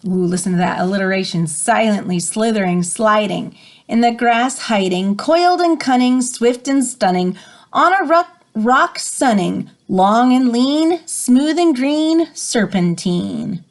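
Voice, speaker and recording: Female educator, expressive picture-book read-aloud with teaching asides. Slightly high pitch, swooping melody, dramatic stresses. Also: unhurried. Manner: animated, dramatic emphasis.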